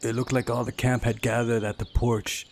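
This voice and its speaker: Man, mid pitch, visual novel narration, let's play host, soft music behind. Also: little pitch movement, moderate pace, gravelly. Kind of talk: reading a visual novel aloud with asides.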